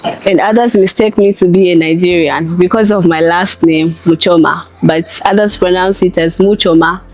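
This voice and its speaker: A woman's voice: fairly low pitch, steady tone, moderate pace, darkish tone, rough recording. A young woman with a Zambian accent giving casual interview answers as an international student. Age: young adult.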